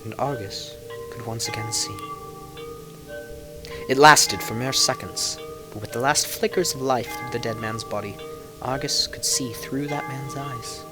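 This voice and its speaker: A man reading a dark tale as a dramatic narrator, with theatrical, deliberate emphasis and music behind him. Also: mid-range voice, low-quality recording. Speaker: narrator reading lore books.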